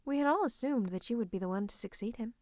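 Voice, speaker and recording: A woman playing a scheming royal advisor in a fantasy roleplay, speaking close to the listener in a coaxing, teasing, falsely comforting way with breathy asides. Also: on the high side, lively intonation, fast, a little mellow, no room sound, natural voice. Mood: coaxing, patronising. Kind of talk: roleplay ASMR monologue to the listener. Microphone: close microphone.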